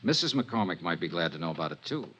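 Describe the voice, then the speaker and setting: Dry radio detective, lively intonation. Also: male voice, deep voice, quick pace, background noise. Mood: dry, probing. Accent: American. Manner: clipped, understated. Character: dry, persistent male insurance investigator narrating his case. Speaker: investigator and narrator. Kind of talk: 1950s radio detective drama, scripted narration. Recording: dull vintage radio recording, music.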